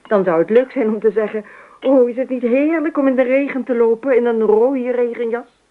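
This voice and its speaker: A woman's voice: a little high, normal speed, natural voice. Casting: female actor for dreamy, playful wife in a radio drama, airy and evasive, on a muffled, narrow old recording. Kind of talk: Dutch radio play acting. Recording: dull, lo-fi radio play recording.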